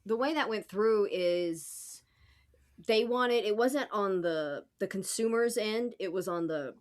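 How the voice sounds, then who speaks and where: A woman's voice: mid-range voice, takes it slowly. A woman on a podcast panel discussing politics in an informal, opinionated way.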